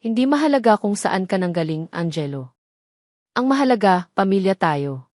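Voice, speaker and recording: Tender, earnest Filipino father and suitor for audio romance played by a woman, measured delivery, dry recording. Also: medium pitch, lively intonation, darkish tone, no echo, lightly acted. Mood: gentle, reassuring.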